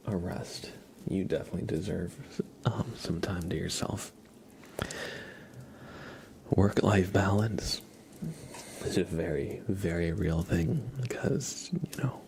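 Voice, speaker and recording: A man softly whispering in a breathy, reassuring way, chatting casually with viewers on an ASMR livestream. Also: sits low, takes it slowly, long pauses, slight background noise. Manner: soft-spoken, reassuring. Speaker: ASMR live streamer.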